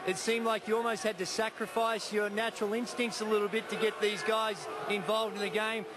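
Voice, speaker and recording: A man's voice: sits high, little pitch movement, brisk. A middle-aged man interviewing players courtside after a game, speaking energetically and raising his voice over the crowd on a handheld mic in an indoor arena. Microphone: handheld microphone. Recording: loud arena crowd noise.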